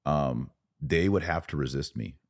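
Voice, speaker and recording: A man with an extremely low, deep voice talks as a podcast guest. He speaks conversationally, earnestly and deliberately, often restarting as he works through his point.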